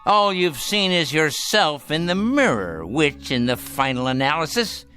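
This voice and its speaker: A sardonic old man of means, dying and scornful in a radio drama. He speaks slowly and deliberately, with theatrical swoops in pitch, over background music. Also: big pitch swings, bright, forceful tone, heavily acted. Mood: biting sarcasm. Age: older. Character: dying, caustic rich old grandfather, mocking his family.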